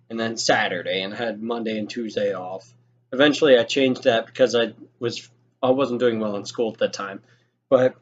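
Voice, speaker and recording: A young man telling a casual story in a rambling, informal way with some swearing. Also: medium pitch. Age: young adult. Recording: dull lo-fi audio with noticeable noise.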